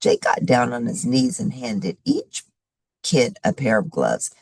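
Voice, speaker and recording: A woman reading a children's chapter book aloud in an animated, expressive storytelling manner. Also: very deep voice, measured pace, creaky, breathy edge, no room sound. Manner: animated storytelling with varied character voices. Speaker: story reader.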